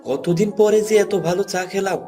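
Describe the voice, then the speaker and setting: An actor playing an excitable village tea drinker in a cartoon, chatty and expressive. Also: male voice, high voice, brisk, natural voice. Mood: delighted. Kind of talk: cartoon voice acting. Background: faint music.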